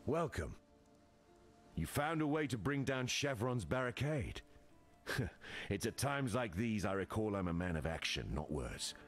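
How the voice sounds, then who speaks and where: A blunt, hearty forest fighter for a video game. The voice is on the deep side with very lively inflection. Soft music plays underneath. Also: male voice, measured pace. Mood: hearty, friendly. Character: hearty, gruff male forest bandit, man of action.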